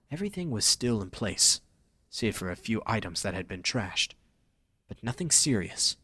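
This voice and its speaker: A man's voice: lowish voice, fairly bright tone, dry recording. A man narrating a scripted romantic story for an audio drama, reading dramatically.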